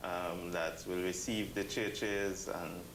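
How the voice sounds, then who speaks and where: A man giving explanatory interview answers in measured, halting phrases. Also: sits low, fairly even intonation, fairly bright tone. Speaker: interview guest, church committee member. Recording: roomy echo with background noise.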